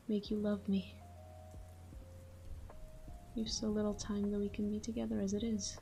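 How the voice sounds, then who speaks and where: A woman performs a devoted, melancholy female vampire in an intimate ASMR roleplay. Her voice is dark, soft and mellow, staying on a narrow, even pitch as she murmurs slowly and slips into whispers. Faint music plays behind her. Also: lightly acted. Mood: wistful, loving. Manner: hushed, slow, murmuring, slipping into whispers. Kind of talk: intimate ASMR-style audio roleplay monologue.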